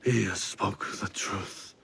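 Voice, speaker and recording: An actor playing a weary, remorseful leader in a video game, speaking gravely with a husky, gravelly voice and halting delivery. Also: male voice, on the low side, fairly even intonation, sharp tone, a little hiss or hum, exaggerated voice. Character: weary, remorseful, solemn male leader doubting his cause. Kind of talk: dramatic video game acting.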